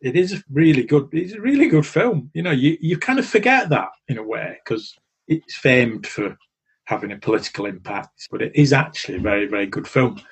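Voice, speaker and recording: A middle-aged man chatting casually as a podcast guest, rambling and animated. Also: medium pitch, a little fast, breathy edge. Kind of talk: casual chat.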